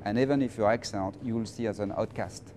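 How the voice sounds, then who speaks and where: A middle-aged man from France with a French accent, answering interview questions in an emphatic, halting way and often repeating phrases. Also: lowish voice, background noise. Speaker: interviewee, finance professional.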